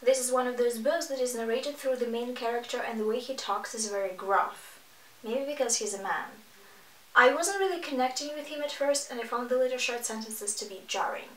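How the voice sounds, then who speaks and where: A woman's voice: on the high side, a little fast. A sarcastic, exasperated woman giving casual reaction commentary as she hosts a book review video. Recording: echoey room, distant mic.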